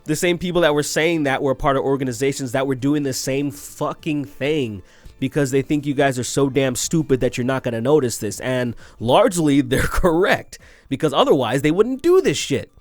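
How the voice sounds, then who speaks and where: A man's voice: mid-range voice, expressive, normal speed, no room sound. A young man hosting a commentary show, ranting crudely and sarcastically and laughing at his own jokes. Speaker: commentary video host. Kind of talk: ranting commentary on tweets and news.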